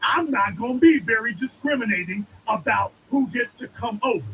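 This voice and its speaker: A man's voice: very high voice, animated, normal speed, bright, forceful tone, slight background noise, low-quality recording. A man giving an emphatic political monologue, preaching and repeating lines for effect. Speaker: commentator.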